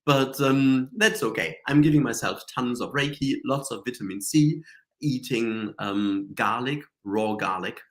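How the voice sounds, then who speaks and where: A man's voice: medium pitch, unhurried. A man with a cold and a slightly nasal voice, hosting a live stream in a chatty, rambling way with many fillers and restarts. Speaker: Reiki teacher and show host. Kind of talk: casual live-stream talk.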